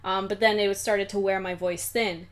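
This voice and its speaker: A woman's voice: mid-range voice, steady tone, moderate pace. Giggly, rambling young woman. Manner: giggly, rambling, many fillers. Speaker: guest voice actress and singer. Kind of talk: casual interview.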